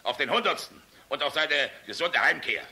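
An actor in a German radio play voicing a jovial, irreverent, sharp-tongued middle-aged air force general. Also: male voice, on the high side, animated, moderate pace, heavily acted. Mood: hearty toasting. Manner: jovial, sardonic, blunt.

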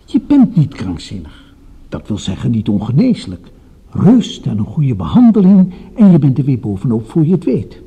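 An actor playing a smooth-mannered, condescending psychiatrist with quiet menace in a radio drama. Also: male voice, medium pitch, wildly swooping pitch, moderate pace, dull audio, heavily acted. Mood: soothing, condescending. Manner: patronising, pseudo-clinical, insinuating. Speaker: psychiatrist character.

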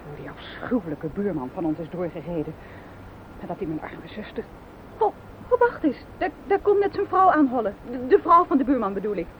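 An actress playing a flustered, evasive sister-in-law who stammers defensively in a radio play. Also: female voice, medium pitch, very animated, brisk, lightly acted. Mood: defensive, indignant. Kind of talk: Dutch radio play acting. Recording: tinny, narrow-band noisy old radio recording.

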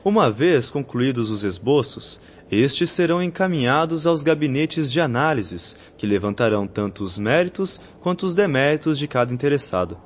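An actor in a radio drama playing an earnest young spirit missionary who teaches patiently in a formal, solemn way. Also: male voice, fairly high pitch, slight background noise. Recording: muffled lo-fi radio drama recording, faint music.

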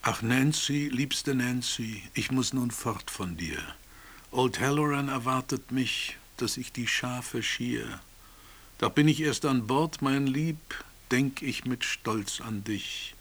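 A male actor playing a grave narrator who recites a convict's lament in measured, declamatory verse for radio drama. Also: mid-range voice, fairly bright tone, husky, full character voice.

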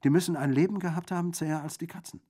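Man acting out a boisterous, high-pitched, mocking bandit. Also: fairly even intonation, very fast, mellow, natural voice. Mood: urging, wry. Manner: exclamatory, jovial, gruesomely joking. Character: boisterous male Corsican bandit, gleefully mocking, roguish. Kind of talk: audiobook character acting.

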